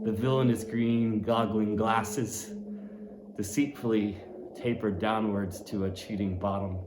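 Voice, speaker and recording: Man giving an expressive novel reading. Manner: expressive, shifting pitch and loudness for effect. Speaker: audiobook reader. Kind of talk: dramatic reading of a classic novel. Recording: roomy echo, faint ambient noise.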